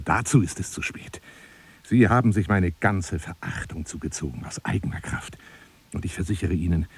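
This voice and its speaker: An actor playing an obsessive, grandiose telephone seducer in a radio drama, husky and breathy, florid and formal, wounded and menacing. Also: male voice, sits low, a little mellow, a little hiss or hum. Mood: offended, scornful. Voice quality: husky, breathy, often dropping to a whisper. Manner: theatrical, florid, formal address, swinging from pleading to scornful.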